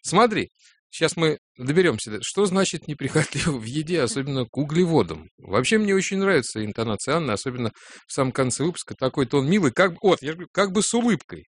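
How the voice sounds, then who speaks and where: Male radio host, Moscow accent, teasing and animated banter. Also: a little high, fast talker, dry recording, studio quality. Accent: Moscow Russian. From Moscow, Russia. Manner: animated, jokey, teasing, laughs. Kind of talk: casual on-air chat.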